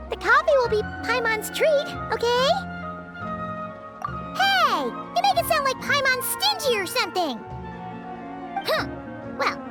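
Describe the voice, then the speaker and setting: Squeaky little fairy sidekick, extremely high-pitched. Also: female voice, full character voice. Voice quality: squeaky, childlike. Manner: refers to self in third person, exclamatory. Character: small childlike fairy companion, excitable, exasperated, easily flustered. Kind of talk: video game character voice acting.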